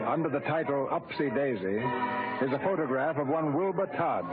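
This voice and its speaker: A man giving formal, measured scripted narration as a vintage radio announcer. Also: on the high side. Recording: tinny vintage radio recording. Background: loud music.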